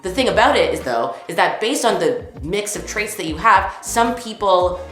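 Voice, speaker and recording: A woman presenting a scripted explainer with lively, emphatic delivery. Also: mid-range voice, moderate pace, roomy sound, soft background music.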